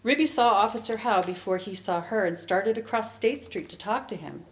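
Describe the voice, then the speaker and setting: A woman author giving a dramatic, measured reading of fiction to be heard at a live event. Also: medium pitch. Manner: measured narration, acting out the dialogue. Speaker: author reading from own novel. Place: indoor library event space. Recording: echoey room, public event recording.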